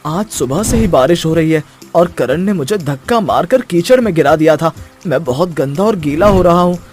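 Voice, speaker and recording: A man's voice: a little high, expressive, normal speed, darkish tone, husky, soft background music. A man voicing an earnest, kind-hearted young schoolboy in a children's fairy tale. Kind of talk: children's story voice acting.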